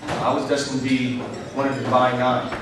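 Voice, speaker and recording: A man's voice: mid-range voice, level tone, quick pace, distant mic, noisy background. Man speaking in an echoey hall. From New York, United States. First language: English. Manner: rambling, with frequent "you know" fillers. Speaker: keynote speaker. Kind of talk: conversational keynote speech. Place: large convention hall.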